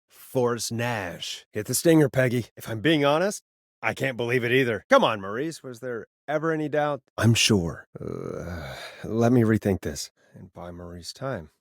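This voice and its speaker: An actor playing a wry, quick-witted late-night radio host in a video game, with sarcastic, hesitant asides. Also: male voice, medium pitch, dry recording, studio quality, natural voice. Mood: playful, wry.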